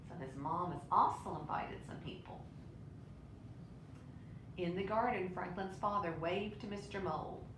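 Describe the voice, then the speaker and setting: Echoey, lower-voiced woman reading a storybook. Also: measured pace, long pauses. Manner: expressive, with brief asides to listeners. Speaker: storybook reader. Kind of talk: children's picture book read-aloud. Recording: roomy echo, clear low rumbling noise.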